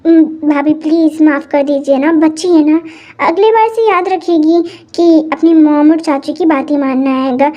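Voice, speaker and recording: Woman voicing an indulgent aunt, coaxing and pleading, very high voice. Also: moderate pace, dark tone, lo-fi sound, natural voice.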